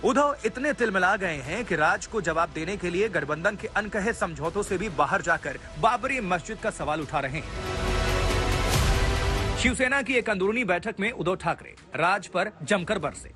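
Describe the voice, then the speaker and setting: A man's voice: sits high, animated, normal speed, over loud music. A man narrating a scripted television news report with a dramatic, punchy delivery.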